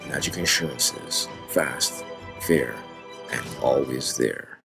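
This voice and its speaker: A man's voice: a bass voice, slow, creaky. A man narrating a scripted advertisement as an announcer. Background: new-age background music.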